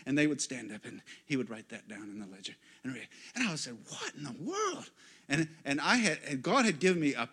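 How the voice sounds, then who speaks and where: A man preaching in a church, telling stories and swinging from quiet asides to loud, excited exclamations. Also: medium pitch. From United States. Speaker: guest pastor. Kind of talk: sermon.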